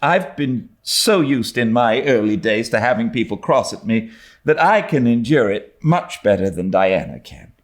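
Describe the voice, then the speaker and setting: A man's voice: medium pitch, lively intonation, moderate pace, pitched above the performer's own voice, natural voice. A man voicing an earnest, dramatic young orphan girl with a pleading, resolute manner in an audiobook. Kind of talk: dramatised audiobook reading of a classic novel.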